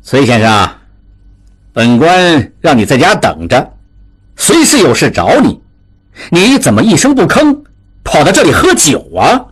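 A man's voice: fairly high pitch, huge pitch swings, a little slow, pauses often, husky, exaggerated voice. A man voicing a stern, imperious government official in a dramatized audiobook, commanding and reproachful. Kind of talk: dramatized audiobook character acting.

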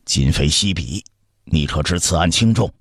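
Commanding emperor played by a man: low, rough-edged, curt, scolding, historical audiobook. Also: lively intonation, moderate pace, no room sound, clean studio sound, exaggerated voice. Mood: taken aback, scolding. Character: stern, imperious adult Han emperor, quick to anger.

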